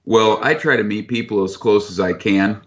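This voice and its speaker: Very deep-voiced man, relaxed and frank. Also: normal speed. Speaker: chef who buys from foragers. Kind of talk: casual interview talk. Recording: dull lo-fi sound.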